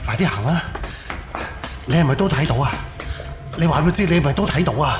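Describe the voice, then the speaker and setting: A man's voice: fairly high pitch, lively intonation, fast, over music, voice pushed up, close to an everyday voice. A man voicing an earnest, flustered amateur investigator in a Cantonese audio drama, explaining things in a hesitant, colloquial way. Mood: urgent. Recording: faint background noise.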